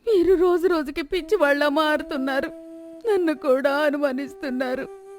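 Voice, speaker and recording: A woman's voice: sits high, normal speed, voice pushed up, natural voice. A woman voicing a distressed village wife in a moral story, pleading and complaining about her troubles. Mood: tearful pleading.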